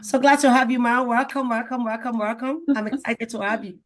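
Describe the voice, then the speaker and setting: A woman's voice: a little high, fairly even intonation, a little fast. A woman hosting a talk show in an enthusiastic, effusive manner, repeating phrases for emphasis. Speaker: show host.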